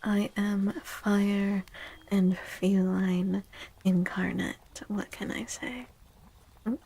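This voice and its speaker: A woman speaking softly and gently in a breathy near-whisper, chatting casually as an ASMR streamer giving readings. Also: medium pitch, level tone, drawn-out words, poor audio. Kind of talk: soft-spoken ASMR, casual chat.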